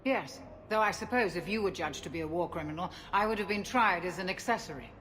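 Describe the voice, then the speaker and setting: An actress playing a loyal female ship's doctor in a video game, speaking in a measured, courteous and formal way. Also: lowish voice, a bit bright, natural voice. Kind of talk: video game cutscene dialogue.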